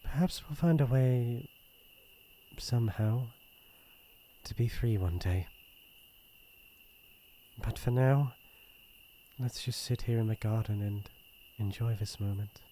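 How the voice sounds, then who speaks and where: A man voicing a shy young prince in love, speaking softly and hesitantly with a British accent in a romantic ASMR roleplay. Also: mid-range voice, slight background noise, lightly acted. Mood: tender, wistful. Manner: soft, slow, hesitant, intimate.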